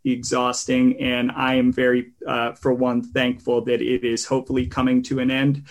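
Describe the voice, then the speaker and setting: A man hosting a podcast in relaxed, rambling casual chat, with a tenor voice and many fillers. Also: medium pitch, moderate pace. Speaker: podcast host.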